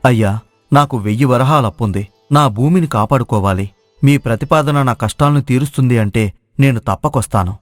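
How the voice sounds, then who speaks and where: A man's voice: medium pitch, a little fast, no echo, soft background music, natural voice. A man playing a humble, honest village cook who speaks politely and confidently in a moral story. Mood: calm, assured. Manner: polite, assured. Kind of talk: acted moral story dialogue.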